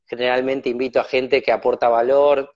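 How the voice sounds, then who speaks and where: A man hosting a live video-call interview, talking in a relaxed, reflective, rambling way. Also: mid-range voice, fairly quick. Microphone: phone on a video call. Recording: dull lo-fi video-call audio.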